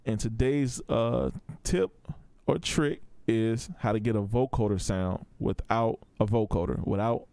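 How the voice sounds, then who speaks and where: A man's voice: on the low side, unhurried, warm tone, clear, resonant tone, no echo. A man giving a casual, step-by-step tutorial in a laid-back, informal way. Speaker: audio production tutor.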